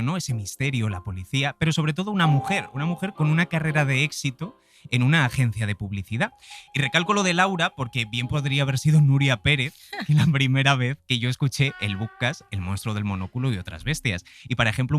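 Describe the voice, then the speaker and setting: A man's voice: mid-range voice, a bit bright. A man from presenting and interviewing conversationally as a podcast host.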